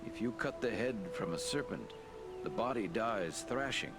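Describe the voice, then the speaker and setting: An actor playing a wise, noble lord in a fantasy video game, speaking in a grave, measured, formal manner. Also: male voice, lowish voice, big pitch swings, loud music behind the voice. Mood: solemn counsel. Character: wise, solemn, noble lord counselling heroes.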